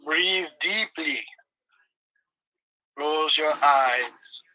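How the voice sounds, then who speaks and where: Bright, sharp-toned man in halting English. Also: fairly high pitch, rough recording. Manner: halting broken English, deadpan jokes, slow deliberate phrasing. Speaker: guest co-host. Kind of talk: rambling comic chat turning to guided meditation.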